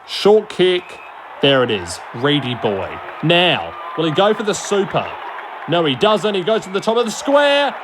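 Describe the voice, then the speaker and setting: A man giving excitable, colloquial play-by-play sports commentary in a blunt manner, often answering his own questions. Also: sits high. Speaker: amateur football commentator. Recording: loud crowd noise behind the voice. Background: stadium crowd ambience.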